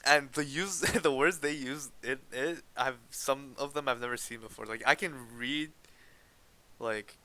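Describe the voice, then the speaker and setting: Teen male co-host from Canada, bright crisp voice, casual hesitant chat with restarts. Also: medium pitch, a little slow. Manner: hesitant, restarts often, laughs while explaining. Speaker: podcast co-host.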